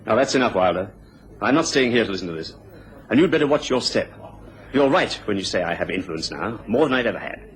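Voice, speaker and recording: A man's voice: fairly low pitch, lively intonation, fast, close to an everyday voice, poor audio. An actor playing a proud, defensive Englishman of influence in a radio drama, stiff and guarded in manner. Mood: indignant.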